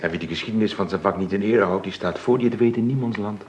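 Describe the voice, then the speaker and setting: An actor playing a possessive, coaxing artist boyfriend in a radio drama, patronizing and teasing in manner. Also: male voice, fairly low pitch, fast, darkish tone, slight background noise, close to an everyday voice. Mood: welcoming.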